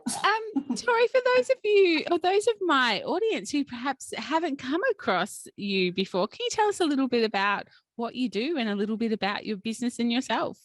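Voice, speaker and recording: A woman's voice: sits high, big pitch swings, moderate pace, dry recording. Chatty Australian woman podcast host. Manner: enthusiastic, chatty, with fillers. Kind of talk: casual interview chat. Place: rural home.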